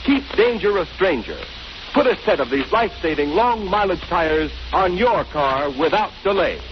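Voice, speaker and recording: A man's voice: sits high, lively intonation, normal speed. An American man announcing in 1930s radio advertising style, with an emphatic, polished sales delivery. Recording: muffled, noisy 1930s radio recording.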